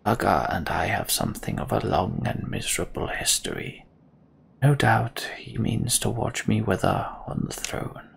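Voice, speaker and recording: Man doing a hushed, withered old king. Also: on the low side, gravelly, slight background noise. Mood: weary, grave. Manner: slow, careful, hushed. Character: old withered poisoned king, weary and solemn. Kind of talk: video game character dialogue.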